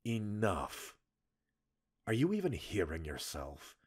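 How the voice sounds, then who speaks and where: A man playing a cold, stern military commander in a roleplay audio, giving a formal, measured reprimand in a husky voice. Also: fairly low pitch, huge pitch swings, exaggerated voice. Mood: exasperated anger. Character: stern male military commander, cold, scolding a reckless subordinate. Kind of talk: scripted ASMR roleplay monologue.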